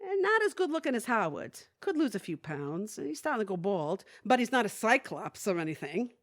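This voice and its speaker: A woman's voice: mid-range voice, big pitch swings, brisk, dry recording, clean studio sound. An actress playing a plain-spoken, wry, hesitant woman who longs to be braver, in an audio play. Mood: wry, fond. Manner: folksy, candid, wry. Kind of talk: audio theatre acting.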